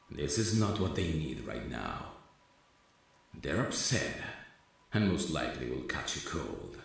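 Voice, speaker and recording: Very deep, gravelly, tender man. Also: fairly bright tone. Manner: tender, soothing, intimate. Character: caring, protective male friend secretly in love. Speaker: ASMR roleplay voice actor. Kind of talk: romantic ASMR roleplay acting. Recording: rain ambience behind the voice. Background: rain.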